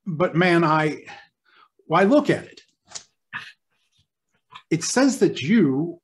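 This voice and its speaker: An earnest hospital doctor in charge, played by a man, straight out of an old-time radio drama. His slightly high voice moves in lively swings, and he stumbles and repeats himself, rattled. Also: extremely slow, a little hiss or hum, exaggerated voice. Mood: startled, flustered. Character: flustered, earnest male hospital superintendent, a doctor.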